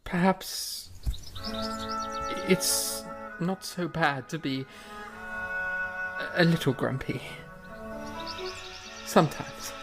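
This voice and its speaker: A woman's voice: low-pitched, very slow, pauses often, music in the background, exaggerated voice. A woman playing a playful young male composer who talks in a theatrical, hammy way in a fan audio drama. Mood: bemused. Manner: theatrical, hammy, breaks into pirate play.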